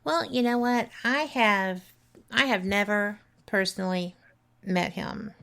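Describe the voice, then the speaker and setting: A woman's voice: medium pitch, unhurried, bright, forceful tone. A middle-aged woman with a Southern accent, hosting a casual radio talk show and chatting with enthusiasm. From Alabama. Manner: chatty, enthusiastic, laughs easily.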